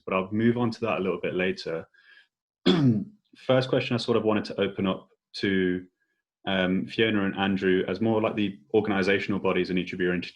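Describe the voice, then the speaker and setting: A slightly muffled recording of a man with a lowish voice moderating a panel. He puts questions informally, circling tentatively before getting to the point.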